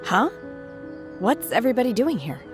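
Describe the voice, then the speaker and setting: A woman voicing a calm, detached young female exorcist in a game, cool and reserved. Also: on the high side, moderate pace, over music.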